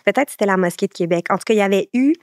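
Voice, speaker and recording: A woman in her thirties with a Québécois French accent, talking through her thoughts as a podcast guest. She pauses with fillers and restarts sentences as she searches for the words, close to the mic in a dry, dead room. Her pitch sits in an ordinary middle range. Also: a little mellow, dry recording. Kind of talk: casual conversation.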